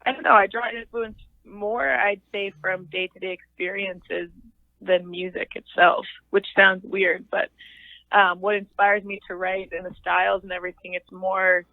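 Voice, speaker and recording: A young woman with a low voice, chatting in an interview as a singer-songwriter, thoughtful and halting with many restarts. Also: flat delivery, no echo. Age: young adult. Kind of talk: casual interview chat. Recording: tinny phone line.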